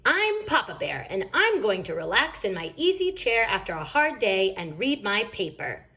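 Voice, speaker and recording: A woman's voice: mid-range voice, big pitch swings, moderate pace, sharp tone. A woman voicing a blustery, scolding father bear in a storybook read-aloud, with an indignant, theatrical manner. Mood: self-important. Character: blustery grown-up Papa Bear, as cubs mimic him in a play.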